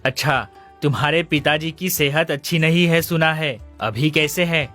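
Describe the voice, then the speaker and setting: A caring middle-aged uncle from a cartoon story, voiced by a man, earnest and coaxing. His voice sits a little high, and soft music plays behind. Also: moderate pace. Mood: friendly. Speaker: voice actor.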